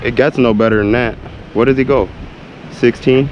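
A man giving excited, chatty running commentary to viewers while fishing from a sea pier, talking into a body-worn camera. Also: mid-range voice, normal speed. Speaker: fishing vlogger. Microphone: body-worn action camera. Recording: loud wind noise on an outdoor camera mic. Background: wind and traffic noise.

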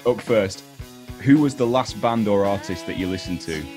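A young man hosting a casual interview over a video call, asking relaxed conversational questions. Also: on the low side, normal speed, soft background music. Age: young adult. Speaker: interviewer, host. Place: indoor home room, video call.

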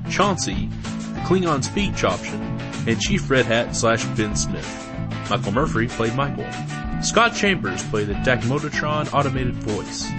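Male credits announcer, scripted read, mid-pitched voice, music behind.

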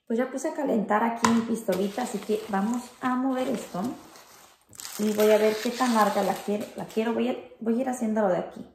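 Home-decor vlogger, woman, relaxed and chatty, lots of little fillers, slight fry in the voice. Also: on the high side, fairly quick, background noise. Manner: chatty, full of diminutives and fillers. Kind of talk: casual how-to chat. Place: at home indoors.

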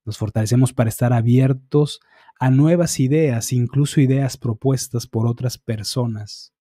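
A middle-aged man with a mellow, warm tone recites affirmations one after another in a calm, measured cadence. He sits close to a studio microphone in a small dark room, and the sound is dry and intimate. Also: medium pitch, dry recording. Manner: eyes often closed, fingertip to temple, concentrating. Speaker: energy healing practitioner. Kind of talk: guided affirmation session. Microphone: studio microphone on boom arm, close.

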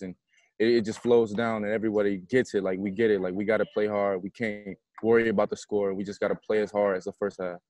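A man's voice: fairly low pitch, a little mellow. A young man, a college basketball player, giving informal, plain-spoken answers at a post-game press conference. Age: young adult. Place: indoor basketball arena, courtside. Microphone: earphone headset on a video call.

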